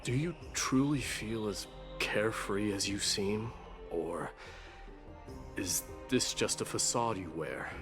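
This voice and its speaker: A man playing a grave, stoic warrior king in a video game, speaking formally and with measured weight. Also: fairly low pitch, expressive. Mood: grave, probing. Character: solemn, stoic warrior king turned god, male. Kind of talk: dramatic video game voice acting. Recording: game dialogue over loud music.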